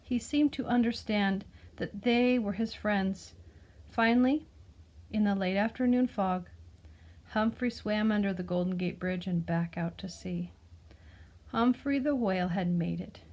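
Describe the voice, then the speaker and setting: A woman's voice: mid-range voice, measured pace. A woman reading a children's picture book aloud expressively, like a teacher, with explanatory asides and questions to the listener. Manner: explains words and asks the listener gentle questions.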